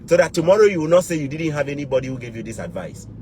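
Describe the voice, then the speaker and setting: A man's voice: fairly high pitch, animated, very fast, background noise. A Ghanaian man giving motivational advice to camera in an emphatic way, repeating key words and phrases for weight. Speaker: YouTube vlogger.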